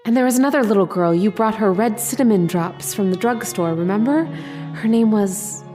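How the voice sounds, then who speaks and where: A woman plays a young dream sweetheart in an old-time radio horror play. She is adoring and possessive, coaxing in an intimate, mid-pitched voice over soft background music. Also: normal speed, close to an everyday voice. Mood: adoring, wistful.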